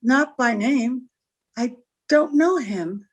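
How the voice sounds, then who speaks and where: A wistful, troubled wife played by a woman in a vintage radio detective drama. The voice is high, with an earnest, plaintive delivery. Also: takes it slowly, husky. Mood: anxious, puzzled. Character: wistful, anxious married woman with a secret. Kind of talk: old-time radio drama acting.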